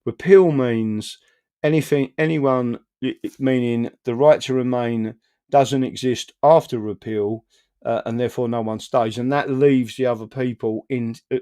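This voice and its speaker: A man's voice: medium pitch, warm tone. A man co-hosting political commentary, explaining carefully with hesitations. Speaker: co-host.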